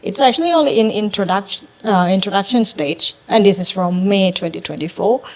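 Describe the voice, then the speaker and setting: A young woman gives a talk to an audience at a middle pitch. She explains things step by step in a measured way. She fills pauses with ums and her English grammar slips now and then. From Thailand. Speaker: startup co-founder giving a talk. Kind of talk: presentation to a live audience.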